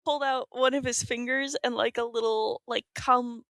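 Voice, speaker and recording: A woman's voice: on the high side, fairly even intonation, moderate pace, fairly bright tone, dry recording. A woman chatting casually as a tabletop game player, tentatively piecing her ideas together aloud. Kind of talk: casual improvised game chat.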